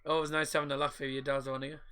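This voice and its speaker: A man, voice croaky from a sore throat, giving casual live football commentary and rambling chat on his own stream. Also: on the high side, level tone, fast talker, sharp tone. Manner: casual, rambling, mixes up names.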